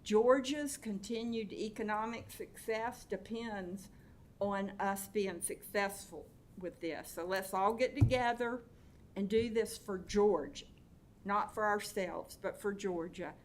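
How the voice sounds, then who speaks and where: A woman's voice: mid-range voice, slow pace, slight background noise. A woman giving an earnest, rallying address to a state board, urging everyone to work together. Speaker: guest speaker.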